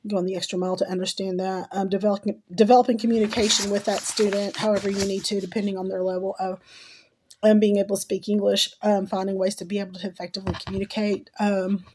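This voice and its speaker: A middle-aged woman who is ill and losing her voice answers course questions in a halting way, with many ums and restarts. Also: medium pitch, little pitch movement, a little mellow, a little hiss or hum, low-quality recording. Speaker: teacher and graduate student. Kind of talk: recorded class assignment answers.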